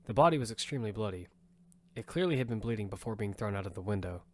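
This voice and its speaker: A man narrating an audio drama in first person as its lead, telling the story with quick urgency over music. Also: fairly low pitch, animated, darkish tone, no echo, clean studio sound, natural voice.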